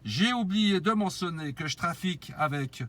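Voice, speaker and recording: High-voiced radio man. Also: lively intonation, normal speed, a bit bright. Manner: cordial, spells out call signs phonetically. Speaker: mobile radio operator. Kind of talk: amateur radio contact, call signs and signal reports.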